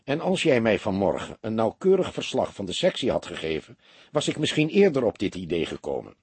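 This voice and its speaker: A man voicing a calm, wry, commanding older heavyset police detective in an audiobook, speaking tersely and with dry, polite firmness. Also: on the low side, brisk, husky, dry recording, exaggerated voice. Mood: dry, instructive. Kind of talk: audiobook character dialogue.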